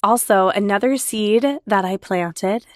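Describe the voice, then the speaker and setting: An enthusiastic woman hosts her own podcast, talking chattily straight to her listeners. Her voice is a little raspy from a lingering cold. The sound is close and dry, with no room around it. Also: mid-range voice, takes it slowly, no room sound. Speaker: podcast host. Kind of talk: solo podcast monologue.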